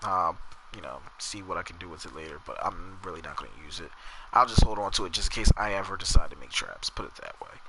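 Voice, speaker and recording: A man's voice: sits low, lively intonation, fast, noisy background. A man from New Jersey with a New Jersey accent, rambling casually as he narrates his own gameplay and chats with viewers. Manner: rambling, chatty, talks to chat while playing. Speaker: gamer streamer. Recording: game music behind voice.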